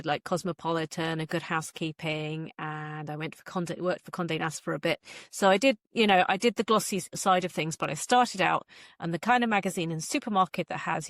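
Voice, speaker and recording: A woman's voice: lowish voice, a bit bright, dry recording, studio quality. A middle-aged woman being interviewed as an author, chatting casually with self-deprecating asides. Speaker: author being interviewed, former magazine journalist.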